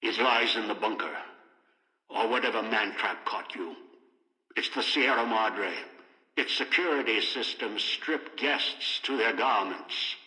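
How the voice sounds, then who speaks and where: An actor playing a cold, obsessive, manipulative older man in a video game, lecturing with deliberate menace. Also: on the low side, sharp tone. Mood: cold, explanatory. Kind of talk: video game character dialogue. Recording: echoey hall reverb, radio-like.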